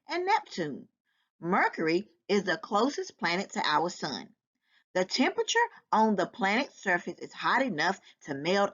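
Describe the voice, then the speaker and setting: A woman reads a children's fact book aloud with very lively, swooping intonation. She takes her time over each sentence in a deliberate, teacherly way. Her voice sits a little low, and she sounds like a volunteer reader addressing young listeners. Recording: dull, lo-fi sound.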